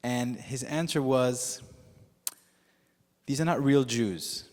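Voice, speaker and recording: Man, rabbi, native Yiddish speaker, sermon, mid pitch, pauses and fillers, reverberant hall. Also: a little mellow. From United States. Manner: measured storytelling with frequent pauses and fillers. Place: synagogue sanctuary with long reverb.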